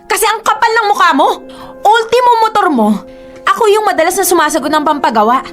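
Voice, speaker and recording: A woman's voice: extremely high pitch, expressive, fast talker, a bit bright, faint music behind. A woman with a Filipino accent acting an emotional, reproachful young girlfriend in a radio drama. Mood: angry, hurt. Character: hurt, indignant young girlfriend pleading with her boyfriend.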